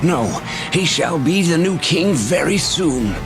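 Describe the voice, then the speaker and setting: A theatrical, slow-talking villainous sorcerer. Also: male voice, on the high side, animated, over music. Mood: sinister. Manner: theatrical, mock-polite. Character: scheming villainous sorcerer, smooth and menacing. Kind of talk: theatrical video game acting.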